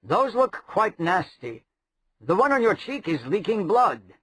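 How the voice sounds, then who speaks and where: A man voicing a haughty, fastidious young prince who talks in a pompous, prim way. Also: high-pitched, sing-song, fairly bright tone, breathy edge, voice pushed up, exaggerated voice.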